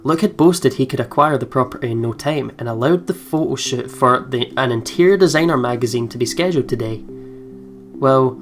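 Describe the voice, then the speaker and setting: A man doing let's play commentary, reading game narration aloud in an expressive way with playful asides. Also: mid-range voice, normal speed. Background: soft game music with guitar.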